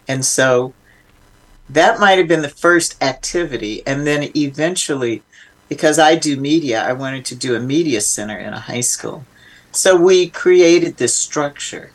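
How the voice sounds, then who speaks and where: An older woman speaking as an interview guest, reflective and emphatic in a conversational way. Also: extremely low pitch. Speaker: interview guest, filmmaker and nonprofit founder.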